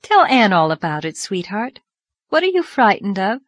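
A woman's voice: mid-range voice, big pitch swings, normal speed, slightly rough, no room sound. A woman voicing a practical, optimistic young woman schoolteacher in a storybook reading. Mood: tender, soothing.

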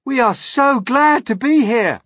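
Man doing a high, eager little boy. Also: huge pitch swings, unhurried, husky, no room sound, studio quality, exaggerated voice. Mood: cheerful, reassuring. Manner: calling out brightly. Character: cheerful, friendly, eager small boy.

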